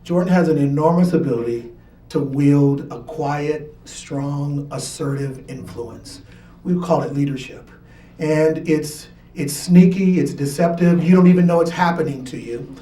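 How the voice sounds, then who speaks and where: A man's voice: fairly high pitch, a little slow, mellow. A father giving an earnest award speech with dry humorous asides. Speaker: parent presenting an award. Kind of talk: ceremony speech. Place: school event hall. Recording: echoey hall from far off, faint noise.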